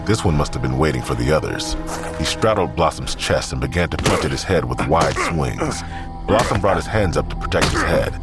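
Man, extremely low voice, lively intonation, scripted drama narration, music behind.

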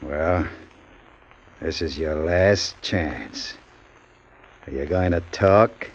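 An actor playing a cruel, threatening gangster in a 1940s radio drama, with a clipped manner, on a muffled old broadcast recording. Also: male voice, very deep voice, very slow, gravelly, a little hiss or hum, exaggerated voice. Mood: menacing. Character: menacing male gangster, cruel, armed husband.

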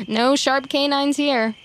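A woman's voice: high-pitched, slow pace, a little hiss or hum. A woman playing an awkward, chatty sidekick who rambles with wry, self-deprecating humour in an audio drama. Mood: awkward, joking. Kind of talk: naturalistic audio drama acting.

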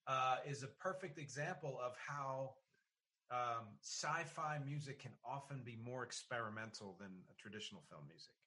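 A man in a conversational interview, talking in an analytical, halting way with emphasis on key words, as a podcast host would. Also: mid-range voice, lively intonation, bright, forceful tone. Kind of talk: conversational interview about music and film.

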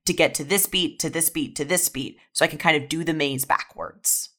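A woman's voice: low voice, normal speed, a bit bright. A woman speaking as a writer on a podcast panel, explaining her process casually in an organized, step-by-step way. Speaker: audio fiction writer, podcast guest.

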